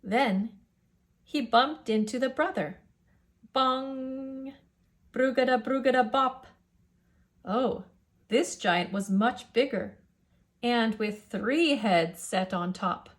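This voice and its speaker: A woman's voice: a little high, slow pace, pauses often. A woman narrating a children's picture book aloud with a rhythmic, rhyming delivery. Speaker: storyteller.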